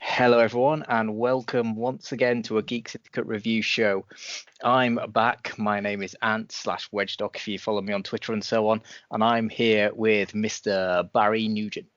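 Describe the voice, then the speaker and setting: Low-voiced man hosting a casual podcast. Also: level tone, normal speed, fairly bright tone. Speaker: podcast host. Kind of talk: casual review chat. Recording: dull, dry podcast audio.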